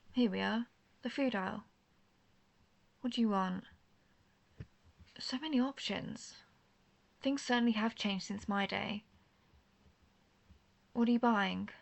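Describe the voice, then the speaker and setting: A woman playing a world-weary, principled old vampire who hunts her own kind, speaking in formal, measured phrases in an intimate roleplay audio drama. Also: fairly high pitch. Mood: subdued, curious. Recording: clearly noisy background ambience.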